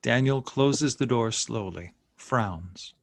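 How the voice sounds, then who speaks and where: A man's voice: medium pitch, slow, creaky, dry recording, clean studio sound. A man reading scene headings and action lines as narrator of a screenplay table read. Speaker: narrator reading stage directions.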